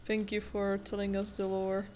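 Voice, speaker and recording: A woman's voice: medium pitch, monotone, moderate pace, a little mellow, slight background noise. A woman giving casual, sarcastic and critical gameplay commentary, with some swearing. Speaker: gamer commenting on a playthrough. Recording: game music behind the voice.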